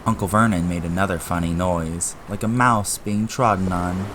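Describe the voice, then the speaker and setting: A man's voice: sits low, fairly even intonation, normal speed, background noise. A man reading scripted narration for an audio drama, as the storyteller.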